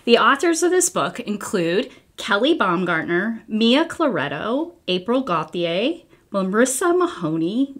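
A middle-aged American woman reading a children's book aloud in an expressive, deliberate way. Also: mid-range voice. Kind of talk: read-aloud storytelling, scripted. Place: small indoor room, wall covered in papers.

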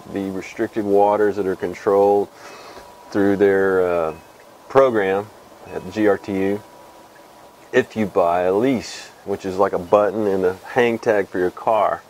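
A man in his fifties from Texas hosts a laid-back fly fishing report, talking slowly with frequent pauses. His voice sits low. Faint outdoor ambience hums behind his lapel mic. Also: slight background noise, rough recording. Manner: relaxed and rambling, many uh and um pauses. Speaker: fly fishing show host. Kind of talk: casual weekly fishing report to camera. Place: outdoors under a shed with corrugated metal wall.

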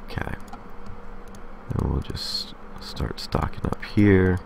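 A man with a remarkably deep, low-pitched voice presents a casual game tutorial. He explains each step methodically, pausing to confirm before moving on. A light layer of noise sits behind his voice. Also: vocal fry. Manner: methodical, explanatory, checks in with "okay". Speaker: tutorial host.

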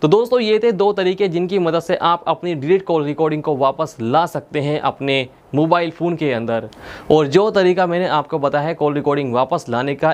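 Higher-pitched young man giving a tutorial. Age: young adult. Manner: instructional, repeats points methodically. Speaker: tech tutorial presenter. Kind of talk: step-by-step tutorial narration. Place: indoor studio with plain blue backdrop.

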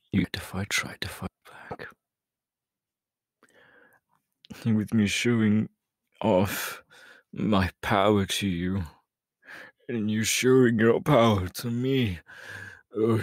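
A man playing a sly, teasing, menacing villain in an ASMR audio roleplay, breathy and often slipping into whispers, close on the microphone. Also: fairly low pitch, dry recording. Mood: seductive menace. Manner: slow, drawn-out, teasing. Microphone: close microphone, dry.

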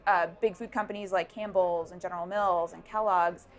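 Young female founder, lapel-miked at a busy event; thoughtful, halting delivery with frequent ums. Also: lowish voice, steady tone. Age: young adult. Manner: thoughtful, many ums and restarts. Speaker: startup founder. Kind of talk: interview answers. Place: busy indoor networking event. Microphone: lapel mic.

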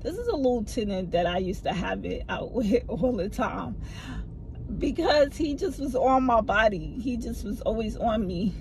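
A middle-aged woman telling a story to her phone camera from a parked car, lively and gesturing as she talks, with a low voice that some people find hard to hear. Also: normal speed, slight background noise, low-quality recording. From family from the American South. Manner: animated, talks with her hands. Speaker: vlogger. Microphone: phone camera mounted in front of her.

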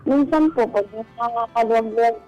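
A Filipino woman calling in for advice, telling her problem in a polite, deferential and halting way. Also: a little high, warm tone, dull audio, faint music behind. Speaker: caller seeking advice. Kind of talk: hesitant personal account in conversation.

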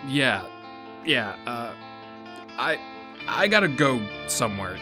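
A man's voice: mid-range voice, very animated, slow pace, music in the background, voice pushed up. A man voicing a sardonic, easily flustered young man with a casual manner in a story reading. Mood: casual, defensive. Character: sardonic, flustered young human man, newly made Death.

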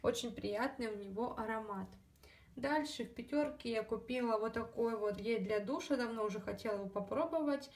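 A woman's voice: fairly high pitch, a little fast, darkish tone, recorded from a distance. A woman vlogger chatting casually about her shopping, full of fillers and asides to the viewers, her throat a little scratchy. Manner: chatty, many fillers, addresses viewers as girls. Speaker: beauty vlogger. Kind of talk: casual haul video chat.

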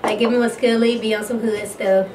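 Young woman, higher voice, casual opinionated chat, emphatic, slightly distant mic. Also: fairly even intonation, fairly quick, darkish tone, soft background music. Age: young adult. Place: small radio studio. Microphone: boom-arm studio microphone. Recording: roomy, distant mic, faint noise.

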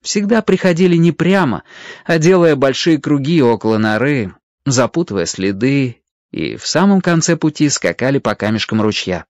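A man narrating a scripted children's story in a measured, expressive way. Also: medium pitch, dry recording.

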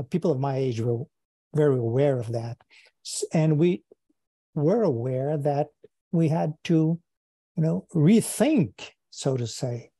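An older man from Quebec with a Quebec French accent, a native French speaker, answering interview questions over a video call from his study, thoughtful and halting, often repeating words. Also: on the high side, mellow, no room sound. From Quebec, Canada. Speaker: guest philosopher. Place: home study with bookshelves. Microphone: earphone mic on video call.

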